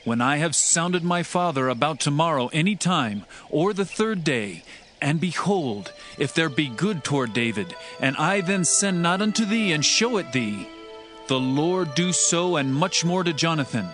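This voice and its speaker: Loyal young prince, fairly high voice, solemn, earnest, declamatory, Bible drama over prominent music. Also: male voice, animated, measured pace, a bit bright. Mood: solemn vowing. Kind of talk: dramatized Bible reading in archaic English. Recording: background music under the voice.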